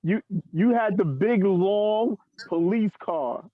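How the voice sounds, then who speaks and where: A man's voice: high-pitched, animated, takes it slowly, no echo, phone-quality sound. A man in his fifties, a former student, joking casually with old friends. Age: 50s. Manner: jokey.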